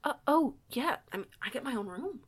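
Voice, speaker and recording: A woman's voice: sits high, expressive, a little fast, slightly rough. A woman playing a shy young female werewolf newcomer in an audio roleplay, hesitant, folksy and polite. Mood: bashful, curious.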